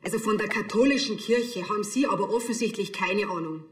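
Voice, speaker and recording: A woman's voice: medium pitch, brisk, roomy sound, slight background noise, close to an everyday voice. An actress playing a prim, matter-of-fact woman in a television crime comedy. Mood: stern, indignant.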